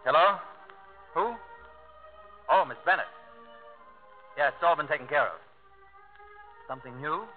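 An actor playing a confident, brash favorite son with a breezy, self-assured way of talking in a radio drama. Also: male voice, a little high, lively intonation, unhurried, long pauses. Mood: brisk. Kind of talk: 1950s radio drama acting. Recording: muffled 1950 radio broadcast, music behind.

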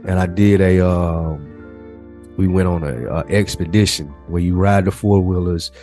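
A man in his thirties co-hosting a podcast, telling stories in a casual, animated way with lots of casual swearing. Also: sits low, normal speed, soft background music. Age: 30s. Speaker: podcast co-host. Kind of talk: casual chat, storytelling.

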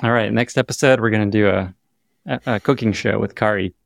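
A man's voice: lowish voice, steady tone, fairly quick, gravelly, no room sound, clean studio sound. A man hosting an interview podcast, upbeat and enthusiastic as he asks questions and wraps up. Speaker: podcast host.

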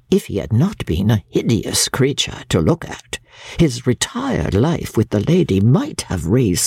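A woman doing a dramatic audiobook reading as a shrewd, cold older Victorian woman recounting secrets, with a low, husky voice and deliberate delivery. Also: dry recording, studio quality, heavily acted. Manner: deliberate storytelling, dramatic swoops and hushes. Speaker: audiobook reader. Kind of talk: dramatic reading of classic literature.

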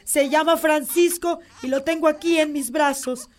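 A woman's voice: high voice, fairly even intonation, normal speed, noisy background, natural voice. An actress playing a frightened young mother who pleads through tears and refuses to care for a strange creature. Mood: pleading, crying. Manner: sobbing, pleading.

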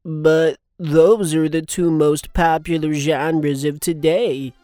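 A man playing an earnest, literal-minded radio sidekick in a formal, deadpan way for a children's audio drama. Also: fairly high pitch, slow, soft background music. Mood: polite, pedantic.

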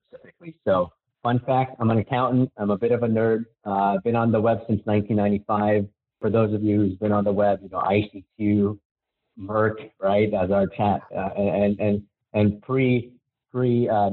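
Husky-voiced man on a tinny line. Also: fairly low pitch. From Philippines. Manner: relaxed and friendly, with frequent restarts. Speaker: marketing executive guest. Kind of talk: conversational interview answers. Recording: tinny phone-line sound.

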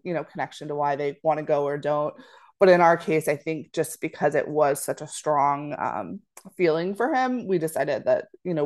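Low-voiced woman author, thoughtful. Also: darkish tone. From Florida, United States. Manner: thoughtful, many "you know" fillers. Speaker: author, podcast guest. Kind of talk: casual interview chat.

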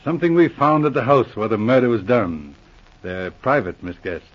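A dry-witted Mountie sergeant. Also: male voice, medium pitch, very animated, normal speed. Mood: dry, patient. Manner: patient, dryly teasing. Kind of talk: 1940s radio acting. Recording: dull lo-fi old radio broadcast.

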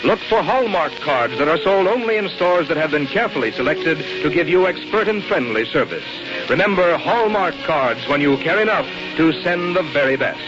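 An American man announces in scripted 1940s radio style. His voice is fairly high and animated. The broadcast sounds dulled, with music playing behind. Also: normal speed, hardly any pauses, highs cut off.

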